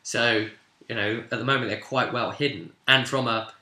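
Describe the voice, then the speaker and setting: Bright-voiced man recapping a tabletop game. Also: mid-range voice, fairly even intonation, moderate pace. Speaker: game master recapping a session. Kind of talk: informal recap of a tabletop fantasy campaign. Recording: echoey room sound.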